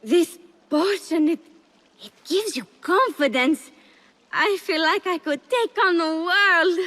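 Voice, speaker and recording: A woman's voice: extremely high pitch, animated, slow pace, pushed and bright, heavily acted. An actress voicing a coy young palace schemer, fiercely loyal to her mistress, in a video game cutscene. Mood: pleading, giddy.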